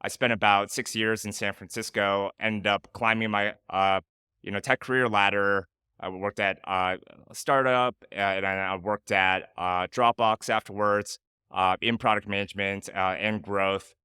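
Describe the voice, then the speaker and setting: A man's voice: on the low side, fairly even intonation, moderate pace, bright, forceful tone, clean tone, dry recording, clean studio sound. A man, a guest answering interview questions thoughtfully, with frequent "uh" fillers. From Michigan, United States. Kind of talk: casual interview conversation.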